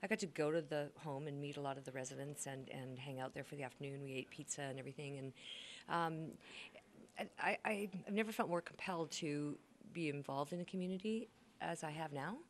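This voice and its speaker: Older actress, low-pitched, chatty and anecdotal, faint noise under her. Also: fairly quick. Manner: chatty storyteller, acts out quotes. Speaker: actor guest. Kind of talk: casual interview chat.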